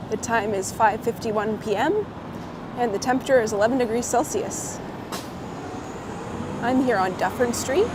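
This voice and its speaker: A woman a bit hoarse from a cold, chatting live with viewers and greeting them by name while she walks outdoors with a clip-on mic. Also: a little high. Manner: friendly, greets viewers by name, laughs often. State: slightly hoarse, getting over a cold. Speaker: live-streaming walking vlogger. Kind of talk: casual live-stream chat while walking. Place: city street outdoors, windy. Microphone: clip-on mic on coat. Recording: outdoor street recording with traffic noise. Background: city traffic and wind.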